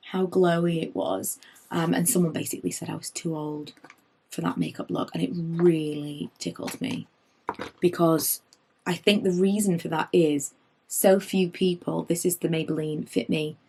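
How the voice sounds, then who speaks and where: A woman in her late thirties, slightly hoarse from losing her voice, chatting through her makeup favourites and wandering off on tangents. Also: lowish voice. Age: late 30s. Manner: rambling, goes off on tangents; animated, rises when indignant. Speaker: beauty vlogger.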